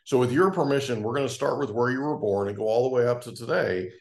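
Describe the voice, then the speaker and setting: A man's voice: medium pitch, quick pace, roomy sound. A man hosting an interview podcast, welcoming his guest in a friendly, encouraging way. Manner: friendly, encouraging, short backchannels. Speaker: podcast host.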